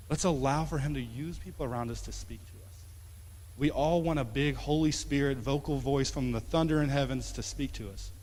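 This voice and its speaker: Young man preaching in a reverberant hall. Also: mid-range voice. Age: 20s. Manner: conversational, rising to emphatic then dropping soft. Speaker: pastor. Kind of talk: sermon. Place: church sanctuary. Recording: long church hall reverb.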